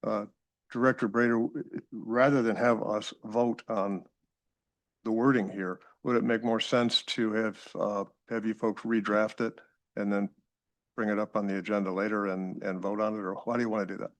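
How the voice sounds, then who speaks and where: Man, board chair, rather low voice, dry sound, chatty and folksy. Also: level tone, moderate pace, no echo. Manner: folksy, rambling, fond of analogies. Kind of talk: chairing a public meeting.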